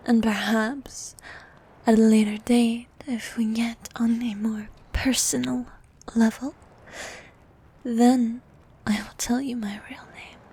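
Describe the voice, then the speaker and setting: Slow, breathy, bossy sea queen played by a woman. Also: fairly high pitch, flat delivery, full character voice. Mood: regal, flirtatious. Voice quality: soft, breathy, often half-whispered. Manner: regal, teasing, slow and coaxing. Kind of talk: ASMR roleplay.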